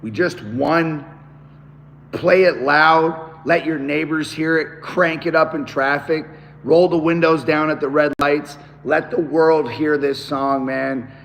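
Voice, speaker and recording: A man with a hoarse, worn-out voice, chatting excitedly to viewers on a live stream. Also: fairly high pitch, slow pace, in a large hall, slight background noise. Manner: excited, talks straight to viewers. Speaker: musician hosting a live stream. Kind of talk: casual live-stream chat.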